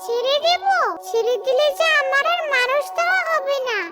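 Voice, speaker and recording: A woman's voice: very high-pitched, moderate pace. A woman voicing a scheming, gloating female ghoul who menaces children in a cartoon horror story. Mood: menacing. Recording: music behind the voice.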